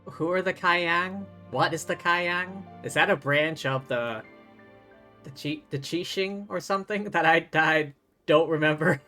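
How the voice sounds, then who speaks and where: A man's voice: a little high, a little slow, sharp tone. A man livestreaming a game, reading dialogue aloud and adding playful, wry, casual asides. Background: game music.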